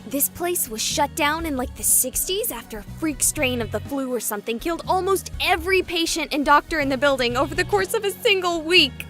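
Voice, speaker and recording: A woman playing a goofy, excitable young livestreamer in a visual novel, chatting playfully with exaggerated enthusiasm. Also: high-pitched, a little fast, hardly any pauses, faint music behind. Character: excitable, goofy young female livestreamer exploring haunted places.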